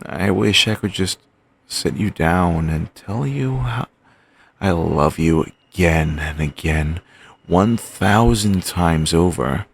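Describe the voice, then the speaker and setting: A man playing an obsessive, doting young boyfriend, murmuring soft, fixated repetitions in an audio roleplay. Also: sits low, takes it slowly, vocal fry, slight background noise, exaggerated voice.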